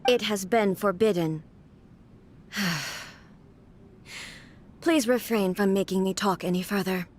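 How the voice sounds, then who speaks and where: A woman's voice: medium pitch, slow, a little mellow, slight background noise, lightly acted. Stern, formal puppet ruler, played by a woman. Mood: detached, weary. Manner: formal, clipped, commanding. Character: stern, aloof puppet ruler, duty-bound. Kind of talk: video game voice acting.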